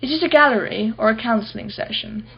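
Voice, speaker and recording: A woman's voice: a little high, quick pace, gravelly, slightly rough, dull audio, exaggerated voice. An actress playing an imperious, wealthy businesswoman in a radio play, guarded and impatient, speaking in a clipped, formal way. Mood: impatient, dismissive.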